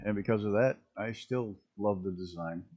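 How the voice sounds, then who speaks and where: A man with a rough early-morning voice, chatting casually and rambling as he streams a flight simulator. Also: on the low side, normal speed, a little mellow, muffled recording. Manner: rambling, self-interrupting, chuckling at mishaps. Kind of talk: casual live-stream commentary.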